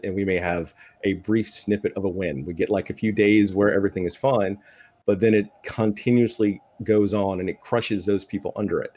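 A man's voice: fairly low pitch, a little mellow. A middle-aged man speaking earnestly as a panelist in a discussion. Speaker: panelist, military veteran and writer. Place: indoor room at home. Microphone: video call.

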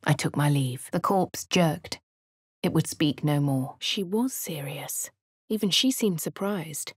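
A woman's voice: low voice, lively intonation, takes it slowly, breathy edge, no room sound, studio quality, exaggerated voice. An actress voicing a posh female adventurer with a posh English accent, delivering cool, wry game lines and narration. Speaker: player character. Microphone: close studio mic, dry.

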